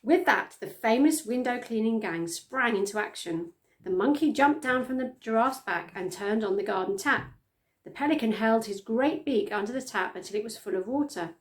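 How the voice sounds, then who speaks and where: A middle-aged woman reading a children's story aloud with expressive storytelling delivery. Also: on the high side, moderate pace. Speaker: storytime reader. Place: small plain room indoors, on a sofa.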